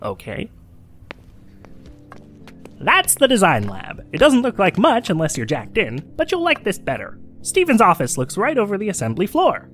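Super eager tour guide gal in an audio drama, chatty, voice bouncing all over the place. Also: female voice, medium pitch, moderate pace, faint music behind. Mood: eager, bubbly. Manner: chatty, animated, showy. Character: excitable young woman guide, eager and informal. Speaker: tour guide at a launch facility. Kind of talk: naturalistic audio drama acting.

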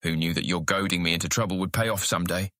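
A man's voice: low-pitched, fairly even intonation, quick pace, sharp tone, dry recording, clean studio sound. A man playing a cheeky, grateful thief, the hero's best friend, in a dramatized fantasy audiobook. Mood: joking, grateful.

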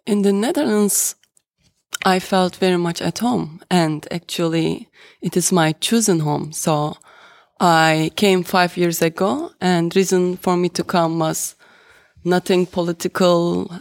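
An architect in their thirties from Turkey, whose native language is Turkish, speaks on a panel with a Turkish accent. Their voice is gravelly, and they talk slowly and haltingly, stopping between phrases. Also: medium pitch, steady tone. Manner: deliberate, halting. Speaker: architect and artist. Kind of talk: panel discussion.

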